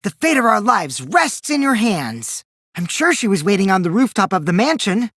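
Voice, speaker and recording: A mischievous teenage boy from a video game, with wildly animated pitch and a close, dry sound. He taunts in a childish singsong and swings theatrically between glee and cool, sly scheming. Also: moderate pace, no room sound, exaggerated voice. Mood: feigned innocence. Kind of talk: English video game character voice acting.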